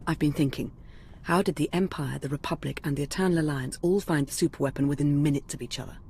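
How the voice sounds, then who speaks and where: A woman's voice: deep voice, fast talker, warm tone, a little hiss or hum. A woman playing an earnest, analytical intelligence adviser in a video game, speaking seriously and precisely. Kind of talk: dramatic video game acting.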